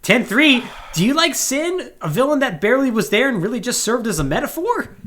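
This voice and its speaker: An animated podcast host talks casually about games. The pitch moves widely around a middle register, and the delivery often tips into sarcastic asides. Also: fairly quick, slight background noise. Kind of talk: casual video game discussion.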